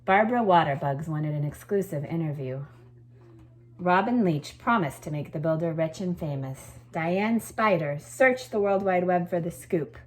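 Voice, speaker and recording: A woman with a low-pitched voice speaks as a teacher to her pupils. Her delivery suits reading a picture book aloud and explaining schoolwork to children. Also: animated, normal speed, poor audio. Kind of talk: expressive storybook read-aloud, then informal assignment explanation.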